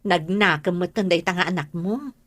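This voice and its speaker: A woman's voice: fairly low pitch, normal speed, fairly bright tone, dry recording. A woman playing an outspoken, protective older confidante in a radio drama. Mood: concerned. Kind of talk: Ilocano radio drama acting.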